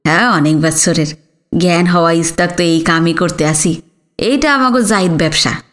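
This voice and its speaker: A woman's voice: lowish voice, normal speed, voice pushed down, natural voice. A woman voicing a humble, hardworking village sweet-shop man with an East Bengali accent in an audiobook. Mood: obliging. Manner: humble, respectful. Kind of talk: audiobook character dialogue.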